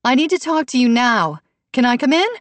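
A woman's voice: sits high, sing-song, unhurried, dry recording, voice pushed up, full character voice. A woman narrator voicing a worried, exasperated, pleading pregnant mother in an audiobook. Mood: insistent.

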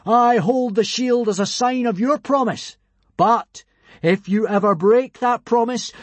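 Wrathful young thunder god for children's audiobook: raised pitch, booming, solemn, stately proclamations. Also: male voice, no echo.

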